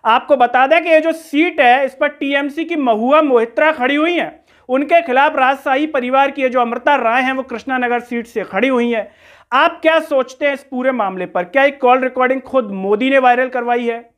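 A man's voice: very high voice, normal speed, fairly bright tone. A man presents political commentary in a rhetorical, questioning, accusatory way. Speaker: news commentary host. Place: small room indoors.

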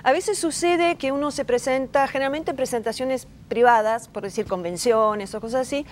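An older woman singer from Argentina, speaking Spanish with an Argentine accent tinged by Italian, chatting relaxed in a TV studio interview. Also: sits high, moderate pace. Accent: Argentine Spanish with Italian touches. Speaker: singer guest. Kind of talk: casual interview chat. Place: TV studio set, on an orange sofa.

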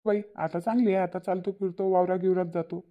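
A woman's voice: on the low side, fairly even intonation, brisk, natural voice. Woman voicing an easygoing village man, muffled sound. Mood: obliging. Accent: Varhadi Marathi from Vidarbha. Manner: placid, reassuring, brief replies. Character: easygoing village husband, obliging younger brother. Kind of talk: Marathi cartoon family-drama dialogue.

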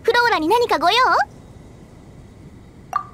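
Very high, sweet little girl. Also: moderate pace, bright, forceful tone, slight background noise. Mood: cheerful, friendly. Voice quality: childlike, cutesy. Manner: innocent, proud, refers to herself by name. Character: sweet, cheerful little flower-shop girl. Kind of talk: anime game voice acting.

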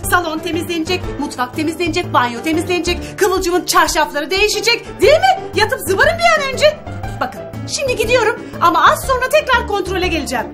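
Spiteful scolding aunt, very high voice, swooping and exaggerated, comic family drama. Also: female voice, sing-song, brisk, over music. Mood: bossy, threatening. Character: shrill, bossy, spiteful aunt nagging orphaned nieces.

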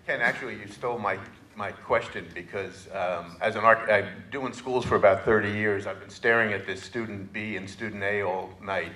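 Low, bright-voiced American man, echoey room. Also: fairly even intonation, moderate pace. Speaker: audience questioner, architect. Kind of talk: unscripted question to a panel. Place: meeting room, indoors. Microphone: handheld microphone.

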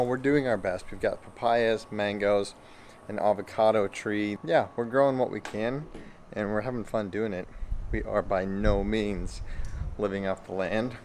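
An American man in his thirties chatting casually to the camera as a vlogger, in an informal, easygoing way. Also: lowish voice. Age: 30s. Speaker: family vlogger. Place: mostly outdoors in a garden. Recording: noisy outdoor camera audio.

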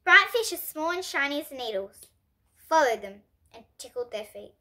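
A young girl with a high, bright voice reads a storybook aloud. Her delivery is expressive and deliberate, swinging widely in pitch and pausing for drama as she hosts the reading. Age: child. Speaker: young host reading children's books aloud.

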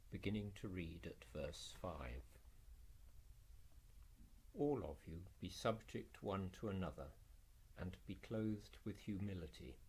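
Low, husky man reading scripture slowly. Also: darkish tone, rough recording. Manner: measured, solemn. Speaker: reader in an Anglican church service. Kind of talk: formal scripture and prayer reading.

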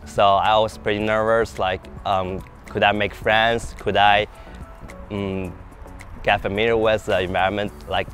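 A man's voice: fairly low pitch, a bit bright. A young man, a student reflecting candidly in a casual interview.

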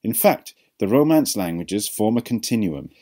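Low-voiced man narrating, lively intonation. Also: normal speed. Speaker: narrator of an educational language video. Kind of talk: scripted narration.

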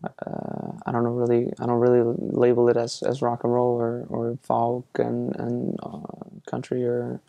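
A young man from Iceland answering interview questions casually, with a relaxed, hesitant manner full of fillers and restarts. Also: medium pitch, measured pace, gravelly, dry recording. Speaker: musician being interviewed.

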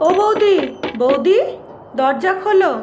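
A woman's voice: extremely high pitch, lively intonation, a little slow, noisy background. A woman playing a chatty village housemaid and nagging wife in a cartoon, with a sceptical, familiar manner. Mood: familiar, brisk. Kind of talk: Bengali cartoon voice acting. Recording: roomy echo, loud music bed. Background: music.

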